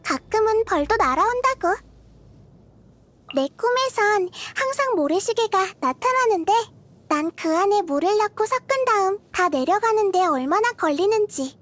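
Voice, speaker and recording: A woman voicing a tiny, childlike goddess of wisdom in a video game, cute and girlish, gentle, curious and thoughtful, musing softly. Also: very high-pitched. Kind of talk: video game character voice lines.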